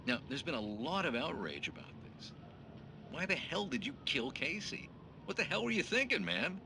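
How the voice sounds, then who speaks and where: Lively, glib late-night host. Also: male voice, on the high side, moderate pace, background noise. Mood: mock outrage. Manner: showy, blunt, joking. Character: glib, teasing male late-night talk show host. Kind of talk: talk show interviewing.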